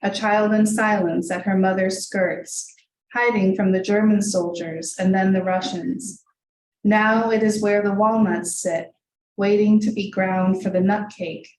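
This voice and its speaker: A woman who grew up speaking Hungarian reads poetry at a library event, her delivery measured and deliberate. She is picked up from across the room, so her voice echoes and sounds a little distant. Faint hiss sits under it. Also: mid-range voice, steady tone, a little mellow. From United States, Hungarian family. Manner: measured, deliberate reading; asides loose with many ums. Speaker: poet reading her work. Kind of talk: live poetry reading with spoken asides. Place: reading room of a library, indoors.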